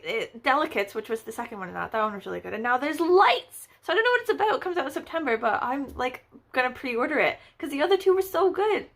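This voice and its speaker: A woman's voice: on the high side, fairly bright tone. A woman chatting casually as a book vlogger, rambling with lots of fillers and wry, playfully exaggerated asides. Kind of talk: casual book review chat.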